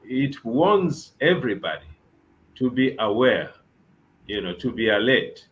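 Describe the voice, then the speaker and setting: A man's voice: mid-range voice, big pitch swings, unhurried, narrow, tinny audio. A man, a bishop, giving earnest advice on a talk show and repeating his key phrases. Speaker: bishop guest.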